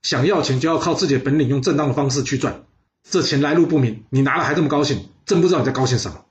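A man voicing a stern, sharp-tongued wife who scolds her husband. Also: medium pitch, rapid-fire, highs cut off, voice pushed up, natural voice. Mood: scolding.

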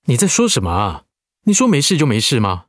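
A man acts a busy, self-absorbed husband in an audio drama. His mid-pitched voice swings with irritation and sounds curt and dismissive, recorded close and dry. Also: wildly swooping pitch, brisk, a bit bright, dry recording. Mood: impatient.

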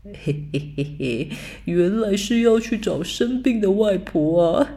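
A woman voicing a sly, hungry male big bad wolf with a gruff, wheedling voice and sneaky chuckles in a bedtime storybook reading. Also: medium pitch, lively intonation, measured pace, mellow. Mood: scheming.

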